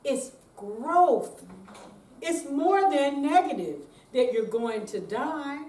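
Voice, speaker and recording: Slow, lively older woman reading aloud. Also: high voice. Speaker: amateur readers theater performer. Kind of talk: dramatic reading on stage. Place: room at a senior center. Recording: distant, echoey room with faint noise.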